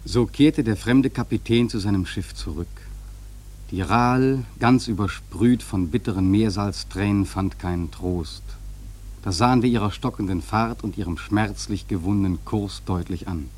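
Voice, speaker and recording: Seafarer narrator, played by a man for radio play: husky, fairly deep, solemn literary storytelling, grave and measured. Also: darkish tone, natural voice. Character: grave sailor narrator recalling a doomed whaling voyage.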